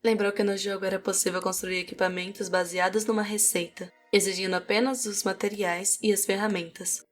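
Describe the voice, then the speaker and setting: A woman's voice: mid-range voice, flat delivery, a little fast, few pauses. A Brazilian woman reading scripted narration for a fantasy audiobook. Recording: music behind the voice. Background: music.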